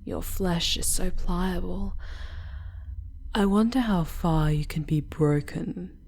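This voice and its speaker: Slow, whispering sadistic dark elf mistress, acted by a woman. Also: lowish voice. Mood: menacing, possessive. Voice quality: breathy, mostly whispered. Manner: slow, deliberate, imperious and teasing. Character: sadistic, seductive dark elf noblewoman toying with her captive. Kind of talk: ASMR roleplay. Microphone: close microphone.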